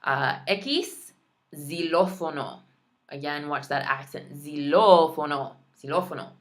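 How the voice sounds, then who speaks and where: Slow-speaking woman teaching Spanish words. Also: low voice. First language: Spanish heritage speaker. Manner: slow, careful pronunciation with playful asides. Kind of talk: recorded vocabulary lesson.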